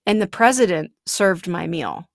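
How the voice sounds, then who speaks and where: Earnest woman with a gravelly edge. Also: on the low side, animated, unhurried. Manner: earnest, emphatic, explaining. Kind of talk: casual faith conversation.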